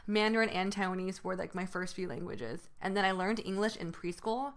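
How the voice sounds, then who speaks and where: A woman speaking in casual conversation about growing up. She talks fast and fluidly, filling gaps with quick fillers, and her pitch sits in an ordinary middle range. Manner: fast, fluent talker with many fillers. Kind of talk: casual chat.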